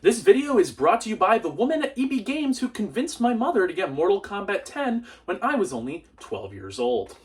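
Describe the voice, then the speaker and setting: High-voiced, animated young man reviewing a movie. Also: a little fast, hardly any pauses, distant mic. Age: young adult. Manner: animated, exclamatory, sarcastic, swears. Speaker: video host, movie reviewer. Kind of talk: comedic scripted movie review.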